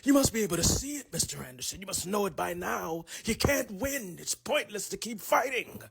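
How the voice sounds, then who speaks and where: A man acts out a male machine villain from a film, bitter and despairing. The delivery is declamatory and menacing, pitched up and loud, pressing each question hard. There is clear noise in the recording. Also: very animated, a little fast, exaggerated voice. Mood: frustrated anger. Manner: declamatory, interrogating, emphatic. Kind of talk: dramatic film villain monologue.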